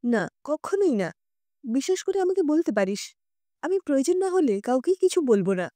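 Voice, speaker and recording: Woman narrator as watchful mother, audio story; soft dark tone, gently persuasive, close dry sound. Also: high voice, very animated, moderate pace, no echo. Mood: reassuring. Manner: coaxing, reassuring. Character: caring, watchful middle-aged mother coaxing her daughter.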